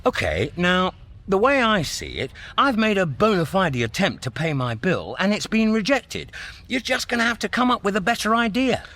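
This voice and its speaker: Glib, high-voiced chancer. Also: male voice, very animated, moderate pace, pushed and bright, exaggerated voice. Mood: cajoling. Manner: glib, argumentative, cajoling. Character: brash, glib male space traveller bluffing his way. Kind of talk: radio comedy drama acting.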